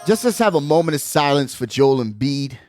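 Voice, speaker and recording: A man hosting a casual sports talk show, giving animated, slangy commentary and repeating phrases for emphasis. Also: mid-range voice, brisk, soft background music. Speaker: sports podcast host.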